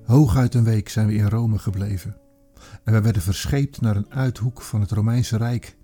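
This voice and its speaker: A man's voice: on the low side, mellow, slightly rough. Man playing a haunted Roman commander, theatrical radio drama. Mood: reflective, weary. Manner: theatrical, halting, reflective, with emphatic repeated words. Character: old Roman army commander, haunted, looking back on atrocity. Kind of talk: dramatic radio play monologue.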